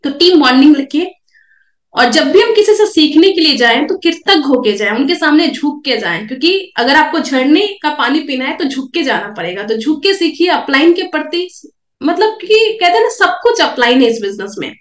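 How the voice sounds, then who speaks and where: A woman's voice: high-pitched, big pitch swings, normal speed, reverberant. A young woman with a sore throat gives a coaching seminar talk, direct and repetitive, and coughs now and then. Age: young adult. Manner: coaching, direct, repeats points. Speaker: network marketing speaker. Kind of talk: motivational seminar talk with slides.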